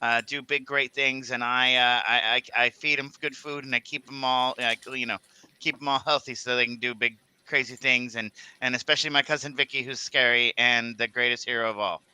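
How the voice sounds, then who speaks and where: A man casually introducing his tabletop game character in a halting, slightly stammering way. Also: mid-range voice, fairly even intonation, bright, forceful tone, smooth.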